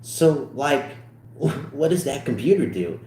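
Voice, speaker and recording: An excitable, nerdy teenage boy acted out by a man, eager and full of swagger. The pitch leaps high and moves freely, in an echoey room. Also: slow, a little hiss or hum. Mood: excited.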